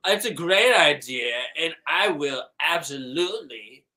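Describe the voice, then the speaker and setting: Doting sketch-comedy dad played by a man, mild and hesitant, with a crisp, bright edge. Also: fairly high pitch, expressive, exaggerated voice. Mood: placating. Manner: halting, placating.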